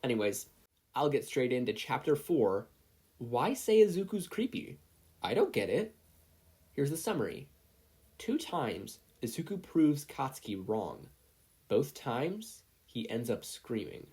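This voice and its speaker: Animated podfic narrator with a husky edge. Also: mid-range voice. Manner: animated, expressive storytelling. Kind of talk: audiobook-style fan fiction narration with casual asides.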